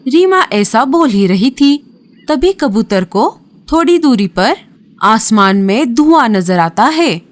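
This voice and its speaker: A woman's voice: fairly high pitch, very animated, slow pace. A woman narrating a scripted children's horror story as a storyteller. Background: music.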